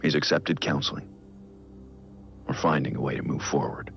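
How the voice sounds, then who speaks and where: An actor playing a brooding, guilt-ridden middle-aged vigilante who records grave, measured, introspective audio logs in a video game. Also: male voice, steady tone, fairly bright tone, heavily acted. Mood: remorseful, grave. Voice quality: deep, gravelly. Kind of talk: scripted audio log narration, video game acting. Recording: muffled lo-fi audio log, music underneath. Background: music.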